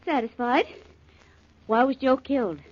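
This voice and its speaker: Animated, inquisitive detective's sidekick. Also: female voice, sits high, measured pace. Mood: curious, playful. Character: inquisitive female sidekick of a detective, bright and playful. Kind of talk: 1940s radio drama acting. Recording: muffled vintage 1940s radio recording.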